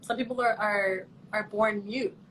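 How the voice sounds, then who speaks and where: A woman's voice: medium pitch, fairly even intonation, a little slow, heavy background noise. A young woman from Canada, a pageant contestant, chatting earnestly and thoughtfully over a video call. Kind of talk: casual video call chat.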